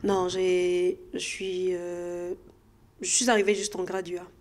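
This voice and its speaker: A woman's voice: lowish voice, level tone, takes it slowly, a little mellow. A woman from the Democratic Republic of the Congo with a Congolese accent, answering interview questions conversationally and hesitantly as she searches for words. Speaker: interview guest.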